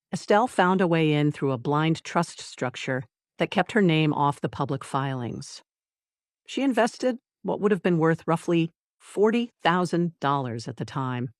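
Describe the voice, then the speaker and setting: Narrator doing a dramatic audiobook read, deliberate w/ punchy pauses, very dry sound. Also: medium pitch, no echo.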